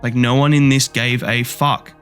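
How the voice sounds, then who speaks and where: Guy on a movie podcast going off, swearing a lot, normal pitch, bit of music in the back. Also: level tone, moderate pace, fairly bright tone, clean tone. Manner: blunt, swears a lot, rants with rising energy. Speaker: podcast co-host. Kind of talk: casual movie review chat.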